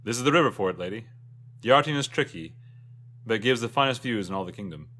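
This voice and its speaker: A man's voice: lowish voice, animated, fast, a bit bright, slight background noise. A man voicing a confident, commanding riverboat captain in a fantasy story, with emphatic delivery. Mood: admiring.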